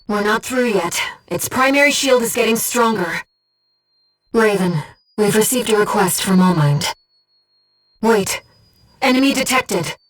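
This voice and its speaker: Woman acting as disembodied female game operator, mid pitch, calm, precise, softly earnest, devoted, a little off-mic, music underneath. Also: distant mic, close to an everyday voice, poor audio. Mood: composed, focused, then urgent, alert. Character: disembodied female mind-voice guiding a mech pilot, calm, devoted. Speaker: mission support operator. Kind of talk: video game voice acting, mission operator dialogue.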